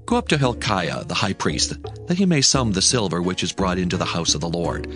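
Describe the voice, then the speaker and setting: An actor playing an earnest, commanding king in a dramatized Bible, speaking in formal, declamatory lines. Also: low-pitched. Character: earnest King Josiah, a solemn commanding biblical monarch. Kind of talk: dramatized scripture acting. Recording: voice over prominent music. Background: music.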